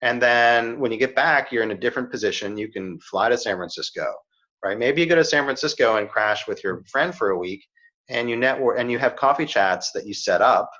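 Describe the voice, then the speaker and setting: A man's voice: medium pitch, brisk, sharp tone. Middle aged guy coaching on job hunting, earnest, keeps saying right and you know, kinda muffled sound. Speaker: career coach. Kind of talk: casual advice chat. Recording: slightly dull, muffled audio.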